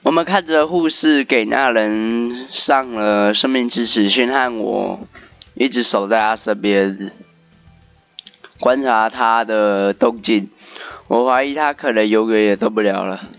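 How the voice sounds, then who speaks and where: A man's voice: on the low side, a little slow, fairly bright tone, no room sound, highs cut off, soft background music. A man doing casual game commentary and reading story text aloud. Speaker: game streamer doing a playthrough.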